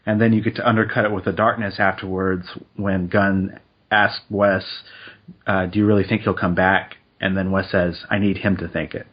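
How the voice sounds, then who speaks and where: A man co-hosting a casual chat podcast, speaking in a measured, reflective way with frequent hedges. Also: sits low, flat delivery, husky. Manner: measured, reflective, hedging with uh and I think. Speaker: podcast co-host. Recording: dull lo-fi podcast audio.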